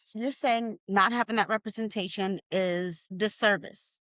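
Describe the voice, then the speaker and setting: A woman's voice: mid-range voice, moderate pace, a bit bright, no echo. A woman interviewing a poet, asking questions in an encouraging way and laughing along with her guest. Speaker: interviewer, host.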